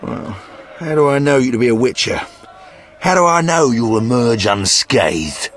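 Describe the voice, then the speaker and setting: A crude mercenary sergeant from a fantasy game. His mid-pitched voice swings widely as he blusters and boasts with theatrical sentiment. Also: lively intonation, measured pace, fairly bright tone, slight background noise. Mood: gruff boasting. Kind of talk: fantasy video game dialogue.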